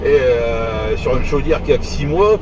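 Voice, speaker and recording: A man's voice: medium pitch, expressive, moderate pace. A man in his forties chatting casually over CB radio, talking in a hesitant, repetitive, colloquial way. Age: 40s. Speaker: heating repair technician. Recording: distant mic, clear vehicle noise.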